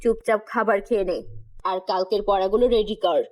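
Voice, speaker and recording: A woman's voice: medium pitch, normal speed, pitched below the performer's own voice, lightly acted, rough recording. A woman voicing a strict, evasive mother in a children's cartoon, firm and curt with her child. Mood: stern, annoyed.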